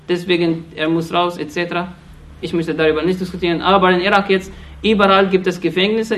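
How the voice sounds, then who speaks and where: High-voiced man speaking urgently. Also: fairly bright tone. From Iraq. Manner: halting non-native German, frequent restarts and fillers; earnest and accusatory. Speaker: speaker addressing an audience. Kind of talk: impassioned political speech.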